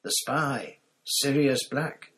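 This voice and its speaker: A man reading an audiobook, voicing a frightened, sweaty man who squeals shrill denials. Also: takes it slowly, a bit bright. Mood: panicked.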